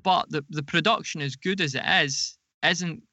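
A man talks through his points as an interview guest, in a creaky voice with a crisp, bright edge. The sound is close and dry. He pauses and rephrases as he explains. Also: fairly high pitch, no room sound. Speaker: podcast guest, audio drama producer.

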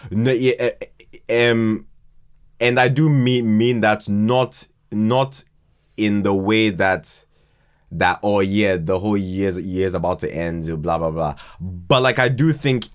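A man in casual podcast chat, halting and repeating words as he searches for them. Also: fairly low pitch, clear, resonant tone. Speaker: podcast speaker.

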